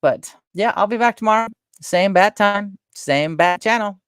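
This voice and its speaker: A middle-aged woman talks in a soft, gentle voice, hosting a loose, meandering chat. She drifts from thought to thought, trailing off and correcting herself with plenty of fillers. The sound is dry and close, with no room around it. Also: mid-range voice, normal speed, clear, resonant tone, no echo. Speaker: livestream host. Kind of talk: rambling casual chat.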